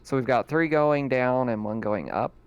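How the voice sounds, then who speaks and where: Smooth-voiced man running a tabletop game. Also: mid-range voice, normal speed, highs cut off. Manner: relaxed, chatty, laughs often. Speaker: game master. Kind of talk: casual tabletop game narration.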